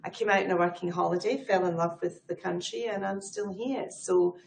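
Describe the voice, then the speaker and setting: A middle-aged woman speaks with a Scottish accent and a low voice with a gravelly edge. She answers in a chatty, fluent way and pokes fun at herself. Her voice rings around an echoey room, with faint background noise. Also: a little fast, darkish tone. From Scotland. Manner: self-deprecating, chatty and fluent. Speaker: guest, head of people advisory. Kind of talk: conversational interview answers.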